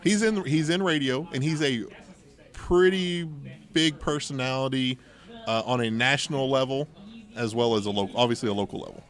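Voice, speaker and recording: A man's voice: mid-range voice, normal speed. Man casually telling stories. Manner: informal, acts out quoted dialogue. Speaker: podcast host telling personal stories. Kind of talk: casual anecdotal storytelling chat.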